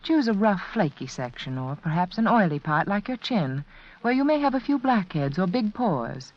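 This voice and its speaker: A woman reading a 1940s radio beauty advertisement in a measured, persuasive way. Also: mid-range voice, very animated. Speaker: beauty product spokeswoman. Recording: dull vintage 1940s radio broadcast.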